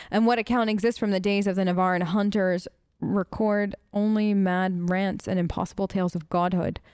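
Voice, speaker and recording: A woman's voice: mid-range voice, a little mellow, clean tone, no echo, studio quality. A woman doing role-play gaming commentary, reading aloud and musing in a conversational way. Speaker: let's player who role-plays her character.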